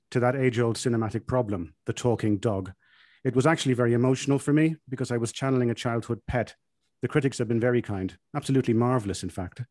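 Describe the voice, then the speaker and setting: A man reading a scripted comic monologue aloud in a deadpan, self-satisfied manner. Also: medium pitch, no echo, clean studio sound.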